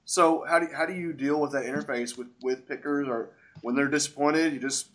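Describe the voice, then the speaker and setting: A man's voice: a little high, fairly even intonation, a little fast, fairly bright tone. A man with a stuffy nose from a head cold, hosting a casual interview and asking curious, chatty questions. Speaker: podcast host, wild mushroom forager.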